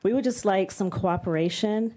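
A woman, a parent, giving an earnest public comment at a hearing, steady with a few pauses. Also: medium pitch. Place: state hearing room.

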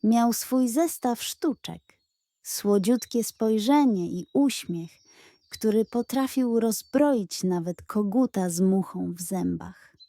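Slow-paced woman telling a children's story. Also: mid-range voice, lively intonation, dark tone, no room sound. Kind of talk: expressive scripted storytelling.

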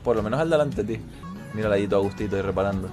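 A man's voice: lowish voice, racing, music in the background. A man chatting and commenting casually while gaming, brash and full of swearing. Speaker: streamer playing a roleplay game.

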